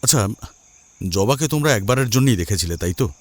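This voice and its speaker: A man's voice: medium pitch, expressive, fairly quick. A man narrating a scripted first-person horror story in a dramatic, suspenseful manner.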